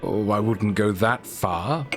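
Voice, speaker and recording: An actor playing a suave, self-assured, teasing man in an audio drama, talking in a wry, drawling, smooth way. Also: fairly low pitch, slow pace, soft background music. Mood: cool, candid.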